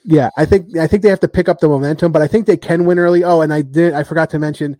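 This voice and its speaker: A man's voice: a little high, fairly even intonation, quick pace, dark tone, dry recording. A man hosting a podcast, rambling through a rugby preview with lots of ums and joking trash talk. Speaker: podcast host. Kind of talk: casual rugby chat.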